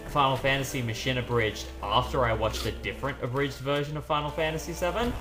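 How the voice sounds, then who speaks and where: A deep-end-of-average male voice gives casual gaming commentary, a little low in pitch. He tells rambling, animated stories while game music plays clearly behind him. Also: fast. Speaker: let's play commentator. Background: video game music.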